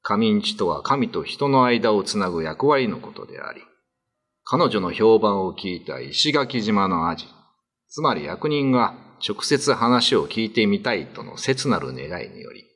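A man's voice: on the low side, very animated, moderate pace, fairly bright tone, slightly rough. A man narrating a classical ghost story as scripted radio drama storytelling.

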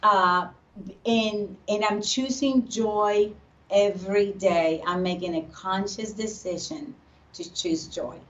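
A woman from Puerto Rico, a pastor's wife, talking earnestly about her faith as a guest in a casual interview. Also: medium pitch. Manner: earnest, faith-filled, speaks slowly with restarts. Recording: echoey, distant, dull remote audio.

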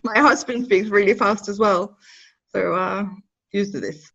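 A Norwegian woman in her thirties, her English carrying a Bristol-tinged Norwegian accent, pitched in the middle range for a woman. She talks haltingly and laughs often as an interview guest. The recording sounds dull and lo-fi. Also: steady tone, vocal fry, a bit bright, husky. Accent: Norwegian mixed with Bristol English. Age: 30s. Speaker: hair and makeup educator.

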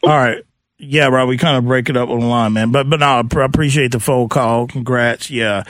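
A man's voice: mid-range voice, steady tone, brisk, fairly bright tone, no room sound. Casual male sports radio host, dry studio sound. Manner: informal, conversational.

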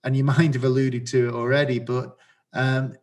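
A man's voice: medium pitch, level tone, normal speed, a little mellow. A man hosting a podcast interview, asking questions while stumbling and repeating words. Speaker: podcast host. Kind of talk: casual interview.

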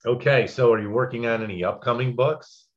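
A man hosting a casual interview with informal, halting phrasing, on a dull lo-fi recording. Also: mid-range voice. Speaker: interviewer, host. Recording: dull, lo-fi audio.

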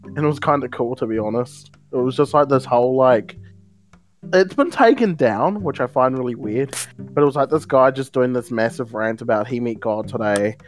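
A young man chatting loosely on a podcast, trailing off into fillers and laughing often. His pitch sits mid-range, with faint music in the background. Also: normal speed. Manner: rambling, with lots of laughter and fillers. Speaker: podcast co-host. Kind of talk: casual joking chat.

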